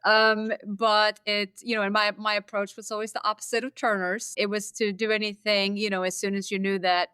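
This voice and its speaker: A man in his fifties from Norway, a college dean, speaking in a measured way with dry humor in a casual interview. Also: sits high, flat delivery, sharp tone, clear, resonant tone, no echo. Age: 50s. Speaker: dean of academics.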